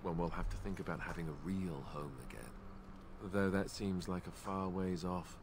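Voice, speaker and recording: Fantasy game companion: earnest young warrior, low register, thoughtful dry wit, slight background noise. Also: male voice, steady tone. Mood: wistful. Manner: measured, wry, thoughtful. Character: young ex-templar warrior man, wry, self-deprecating, earnest. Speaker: companion character in a fantasy role-playing game. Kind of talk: scripted game dialogue.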